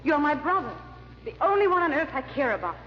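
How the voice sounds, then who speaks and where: A proud, tormented noblewoman, speaks in a high, theatrical voice with wide, imperious swings. She echoes through a long reverb on a muffled old-time radio recording. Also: big pitch swings, fast. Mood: anguished. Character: proud, anguished countess pleading with her scheming brother.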